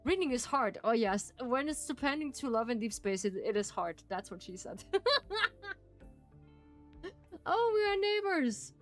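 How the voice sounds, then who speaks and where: A woman's voice: fairly high pitch, big pitch swings, moderate pace, faint music behind. A woman with a German accent, a native German speaker, streaming and reading a game aloud in careful phrasing with frequent laughs.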